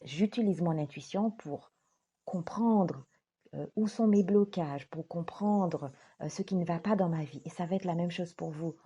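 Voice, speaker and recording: Woman life coach, low-pitched, mellow and husky, gentle and earnest delivery. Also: unhurried, muffled recording. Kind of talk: direct-to-camera talk.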